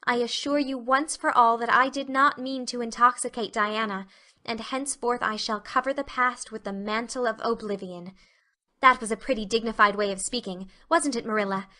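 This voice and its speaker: A woman voicing a dreamy, excitable, talkative young girl in an audiobook, effusive and earnest with grandiloquent phrasing. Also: on the high side, moderate pace. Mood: blissful, earnest. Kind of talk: dramatic audiobook reading.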